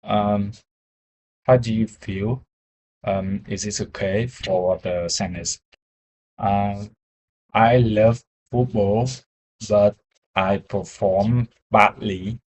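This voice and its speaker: A man with a Vietnamese accent talks through English practice in a low, unhurried voice. He speaks very slowly, pauses to hunt for words and often restarts his sentences. Each phrase comes out careful and hesitant. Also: steady tone, darkish tone, poor audio. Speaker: English learner. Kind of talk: conversation practice.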